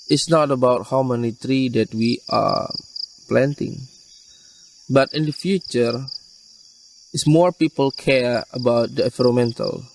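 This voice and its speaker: A man, a wildlife rescue worker, speaking earnest and halting English in an interview outdoors. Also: medium pitch, creaky. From Indonesia. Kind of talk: interview answers. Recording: distant mic, faint insect noise.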